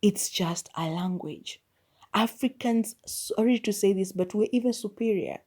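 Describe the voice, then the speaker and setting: A woman's voice: mid-range voice, unhurried, darkish tone. A young woman hosting a solo podcast, speaking her mind candidly and mocking what others say. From Kenya. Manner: opinionated, candid, mock-quotes people. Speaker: podcast host.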